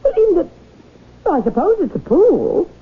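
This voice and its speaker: Society wife, genteel and pushy, very animated, theatrical 1970s radio play, phone-thin noisy sound. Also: female voice, very high-pitched, measured pace, narrow, tinny audio, full character voice. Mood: coaxing, insistent. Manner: theatrical, coaxing, exclamatory. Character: insistent, genteel society wife, club president. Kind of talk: 1970s radio drama acting.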